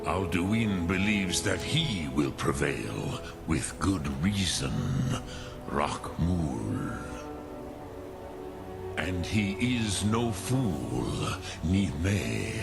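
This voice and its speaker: A man playing an ancient, wise male dragon in a fantasy game, speaking formally in a deliberate, musing and halting manner with a gravelly voice. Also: deep voice, very animated, loud music behind the voice, full character voice. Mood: pensive, philosophical. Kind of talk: fantasy game character dialogue.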